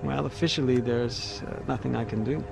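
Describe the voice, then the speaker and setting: A man's voice: mid-range voice, fairly quick, gravelly, natural voice. An actor playing a sardonic, world-weary man in a video game, speaking in a wry, hesitant way. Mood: guarded, wry. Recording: music behind.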